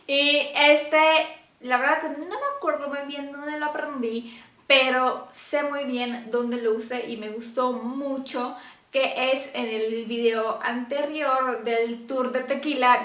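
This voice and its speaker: Young woman, high bright voice, chatty, laughs a lot, roomy echo. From Romania. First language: Romanian. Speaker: vlogger. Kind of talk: casual chat to camera. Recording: echoey room.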